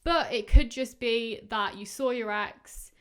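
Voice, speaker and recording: A woman in her twenties hosting a podcast, giving casual, rambling advice full of fillers, her voice going hoarse. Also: on the high side, measured pace. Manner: chatty, rambling, many fillers. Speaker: podcast host. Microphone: podcast microphone.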